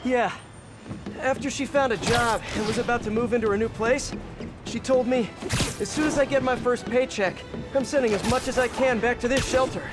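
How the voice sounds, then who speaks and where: A young American video game superhero with a very high-set voice for a man. He is earnest and talkative, with a wry, self-mocking streak. Music plays clearly behind him. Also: steady tone, moderate pace. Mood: warm, encouraging. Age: young adult.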